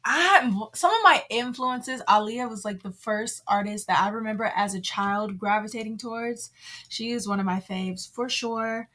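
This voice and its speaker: A woman's voice: a little high, moderate pace, bright, forceful tone. A woman artist from Massachusetts, raised in Texas, chatting easily as a guest on a show. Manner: friendly, easygoing, laughs along. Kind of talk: casual interview chat.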